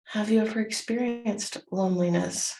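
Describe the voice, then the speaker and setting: A woman leading a guided meditation, gently instructing in short, soothing phrases with unhurried pauses. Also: medium pitch, fairly even intonation, poor audio.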